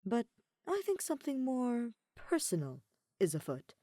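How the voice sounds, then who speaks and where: A woman's voice: on the high side, huge pitch swings, measured pace, warm tone, no room sound, clean studio sound, voice pushed up. A woman voicing a cool, pedantic young male scholar in a story, speaking in a clipped, composed, petty way. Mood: cool, needling.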